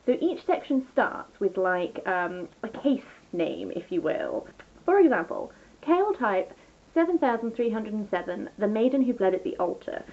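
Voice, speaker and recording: A woman's voice: medium pitch, very animated, normal speed, darkish tone. Chatty woman with thin, phone-like sound. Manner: rambling, enthusiastic, self-interrupting. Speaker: book reviewer and vlogger. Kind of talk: casual chatty book review.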